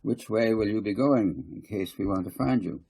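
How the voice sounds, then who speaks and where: A man voicing a kindly, indulgent, gently fussing mother in a storybook. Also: fairly low pitch, normal speed, vocal fry, breathy edge, pitched above the performer's own voice, poor audio. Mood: caring.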